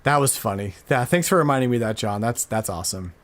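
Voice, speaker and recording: A man's voice: mid-range voice, lively intonation, fast, fairly bright tone. A man hosting a podcast in an informal, enthusiastic, slangy way, chatting casually about retro games. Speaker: podcast host.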